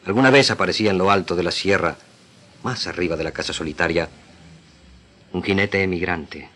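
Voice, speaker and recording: A man's voice: low-pitched, a little fast, sharp tone. An Argentine man, a radio actor, giving a solemn, declamatory reading of literary prose.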